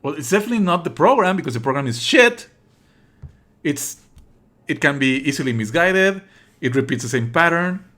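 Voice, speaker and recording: A man's voice: on the high side, animated, normal speed, long pauses, a bit bright. A man doing live let's play commentary as a streamer, sardonic and indignant, swearing freely and at times reading game lines aloud.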